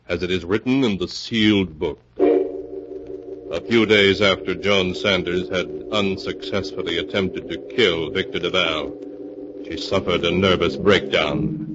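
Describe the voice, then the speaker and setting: A man's voice: low-pitched, takes it slowly. A man narrating a 1940s radio mystery series in a solemn, portentous, scripted style. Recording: dull, noisy vintage 1940s radio recording.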